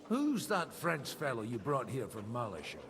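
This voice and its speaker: An actor playing a middle-aged medieval priest in a video game, world-weary and wry, with a dry, grumbling manner. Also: male voice, mid-range voice, wildly swooping pitch, normal speed, a crowd in the background. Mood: weary, bitter. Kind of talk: video game dialogue acting.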